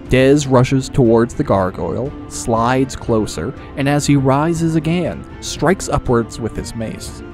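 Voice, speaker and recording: A man's voice: mid-range voice, slow, few pauses, darkish tone. A man narrating a scripted fantasy audiobook in a dramatic storytelling style. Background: music.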